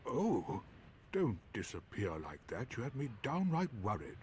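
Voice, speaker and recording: An actor playing a hesitant, good-natured knight in a fantasy game, musing theatrically in old-fashioned phrases. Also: male voice, mid-range voice, wildly swooping pitch, a little slow, a little mellow, a little hiss or hum. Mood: relieved, pleased. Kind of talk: fantasy video game voice acting.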